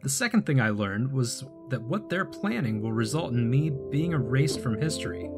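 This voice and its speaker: An actor playing an earnest wanderer unstuck from time, explaining himself in a measured way in an audio drama. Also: male voice, mid-range voice, expressive, music in the background, natural voice. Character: earnest male time-unmoored wanderer recounting his strange life. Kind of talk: audio drama acting, calm storytelling.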